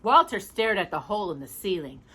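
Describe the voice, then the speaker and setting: A woman narrating a children's storybook expressively, in a dramatic, deliberate storytelling manner. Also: medium pitch, sing-song, fairly bright tone, husky. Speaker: storytime reader.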